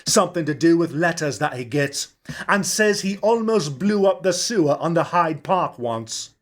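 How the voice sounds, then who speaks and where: A man's voice: on the high side, animated, normal speed, voice pushed up, close to an everyday voice. A man voicing a resentful, scornful pub landlord in his thirties for an audiobook, speaking emphatically. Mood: authoritative gossip. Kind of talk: audiobook character acting.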